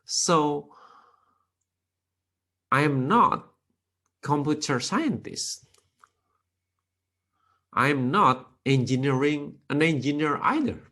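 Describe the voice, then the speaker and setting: A man with an Indonesian accent gives a programming tutorial in careful, non-native English, lecturer style. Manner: slow, careful, with non-native grammar slips; lively intonation with sudden pitch rises.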